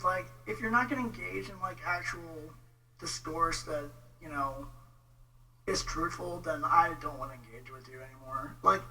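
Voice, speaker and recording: Bright-voiced Texan man, distant mic. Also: fairly high pitch. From Texas, United States. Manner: informal, halting, exasperated. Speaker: vlogger, religious debate commentator. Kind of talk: casual livestream rant replying to viewer comments. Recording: distant mic with faint noise and hum.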